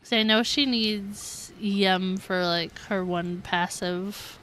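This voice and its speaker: A woman's voice: mid-range voice, fairly even intonation, slow, a bit bright. A woman game streamer chatting casually with her viewers, thinking aloud in a giggly, chatty way as she plays. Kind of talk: casual livestream chat while playing a game. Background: background music.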